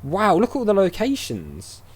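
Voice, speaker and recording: A man's voice: high voice, huge pitch swings, moderate pace, husky. A chatty man giving casual gameplay commentary as host, with game ambience behind him. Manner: chatty, reads game dialogue aloud. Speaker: gaming video host. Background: faint game ambience.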